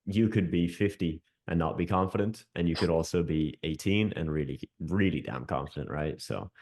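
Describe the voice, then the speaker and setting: A man's voice: sits low, a little mellow. A man hosting a casual interview over a video call, upbeat and friendly, often calling his guest "man". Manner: upbeat, quick, says "man" a lot. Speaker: host and coach.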